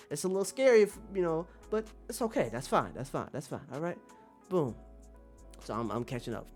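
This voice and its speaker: A man talking casually to his viewers as a streamer, rambling in an emphatic, slangy way and repeating phrases for emphasis. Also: on the high side. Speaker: streamer and manga commentator.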